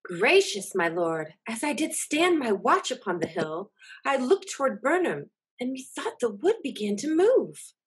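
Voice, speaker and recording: A middle-aged woman playing an anxious messenger in an amateur Shakespeare reading, with a husky voice. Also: on the high side, big pitch swings, a little slow, exaggerated voice. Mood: uneasy. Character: anxious male messenger reporting to his king. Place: bedroom at home. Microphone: video call, some distance from device.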